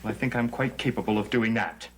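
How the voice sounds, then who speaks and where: A man's voice: lowish voice, fast, a little hiss or hum, lightly acted. Man playing an insolent, sneering young heir. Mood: scornful, defiant. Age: young adult. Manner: sneering, sarcastic. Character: insolent, scornful young heir defying his stepfather. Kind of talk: gothic soap opera acting. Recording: loud dramatic music under the dialogue.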